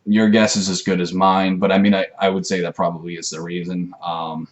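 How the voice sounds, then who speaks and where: Male, very low register. Amateur tutorial host, unscripted, hesitant and self-effacing. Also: flat delivery, a little fast. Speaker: amateur martial arts video maker. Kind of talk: rambling informal tutorial to camera. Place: messy room at home.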